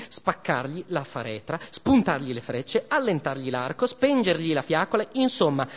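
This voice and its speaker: A haughty, jealous goddess mother who scolds and sneers in a raised, theatrical voice, as a male reader puts her on. The pitch sits well up. The reading is heard as a dull, muffled recording with a long hall reverb. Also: close to an everyday voice. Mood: brooding vengeful. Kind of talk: dramatic reading of a myth.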